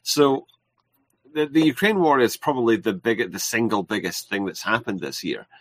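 A man with a fairly low voice hosts a casual livestream interview. He talks haltingly, restarting sentences and filling pauses. The sound is dry and close. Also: animated, no room sound. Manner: hesitant, many restarts and fillers. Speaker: livestream host.

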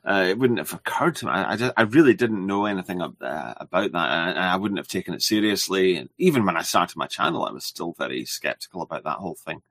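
Co-host, male, hesitant and halting, low voice, dry sound. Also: expressive, gravelly, fairly bright tone, dry recording. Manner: hesitant, stumbles over words. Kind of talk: casual chat.

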